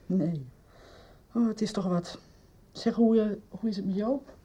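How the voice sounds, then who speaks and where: A woman's voice: medium pitch, a little slow, mellow, slightly rough. An actress playing an anxious countrywoman who runs a farm, in a radio drama. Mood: worried, weary. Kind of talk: 1990s radio drama acting.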